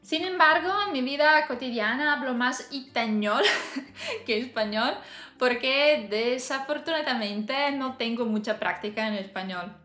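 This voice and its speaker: A woman's voice: high-pitched, a little slow, pushed and bright. A woman in her thirties chatting casually to camera as a vlogger, laughing often between hesitant fillers. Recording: roomy echo, faint music behind.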